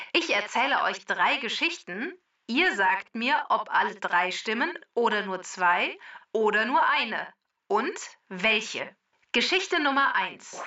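A woman reading scripted quiz questions and facts for a children's podcast in a measured, formal delivery. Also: mid-range voice, sharp tone, distant mic, dull audio.

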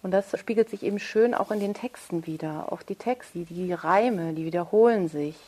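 A woman's voice: lowish voice, moderate pace, mellow. Woman author explaining her work. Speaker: radio play author, interview guest. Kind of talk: reflective interview conversation.

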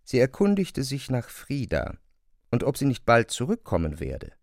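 A man narrating a literary radio drama with measured, descriptive storytelling. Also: medium pitch, wildly swooping pitch, creaky, a little mellow, dry recording, clean studio sound.

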